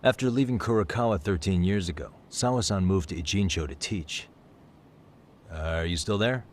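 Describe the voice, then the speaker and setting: An actor playing a composed, persistent private detective, calmly and politely probing in a video game cutscene. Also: male voice, low voice, a little hiss or hum, close to an everyday voice. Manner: calm, measured, politely probing questioning. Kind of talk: English-dubbed video game cutscene acting.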